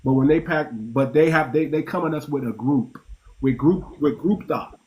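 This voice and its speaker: A man's voice: a little high, moderate pace, dull audio. Emphatic man hosting a discussion. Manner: emphatic, repeats words while building a point. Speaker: host. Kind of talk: casual panel discussion.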